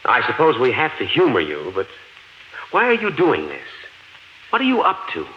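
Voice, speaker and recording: An actor playing a blunt, skeptical, protective husband with an American accent in 1950s radio drama style. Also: male voice, medium pitch, wildly swooping pitch, moderate pace. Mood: skeptical, demanding. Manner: blunt, challenging. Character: skeptical, protective husband, ordinary level-headed American man. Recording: dull, noisy 1950s radio recording.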